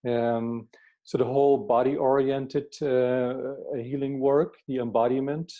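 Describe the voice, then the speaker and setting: An older man from the Netherlands with a Dutch accent, explaining his work reflectively and haltingly, often repeating words. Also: mid-range voice, flat delivery, narrow, tinny audio. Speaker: workshop facilitator, therapist being interviewed. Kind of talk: reflective interview talk.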